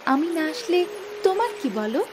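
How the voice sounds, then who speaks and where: A woman's voice: very high-pitched, very animated, normal speed, background noise. A woman playing a kind, stubborn young village girl in a cartoon fable, earnest and insistent. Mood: curious, friendly. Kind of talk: Bengali cartoon fable voice acting. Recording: loud music under the voice. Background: music.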